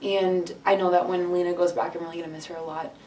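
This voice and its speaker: A woman's voice: lowish voice, little pitch movement, quick pace, roomy sound, recorded from a distance, background noise. A teenage girl, a host sister, talking hesitantly in an interview.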